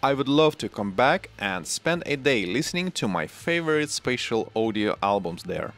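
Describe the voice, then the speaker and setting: A man in his forties presenting scripted tech narration in a measured, deliberate way, close on a studio microphone. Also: mid-range voice, very animated, a bit bright, faint music behind. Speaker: tech channel host. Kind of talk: scripted narration, product review. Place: small home studio room, indoors. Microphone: close broadcast microphone on arm.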